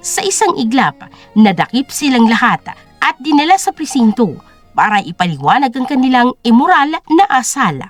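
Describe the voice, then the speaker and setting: A woman's voice: fairly high pitch, big pitch swings, moderate pace, no room sound, soft background music. A woman narrating a scripted story as a dramatic audio drama.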